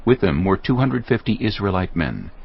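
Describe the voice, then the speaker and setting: A man narrates scripture as audio drama. His voice is very deep, with lively swings in pitch. The recording is slightly dull, with faint ambience behind him. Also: moderate pace, creaky, slightly rough.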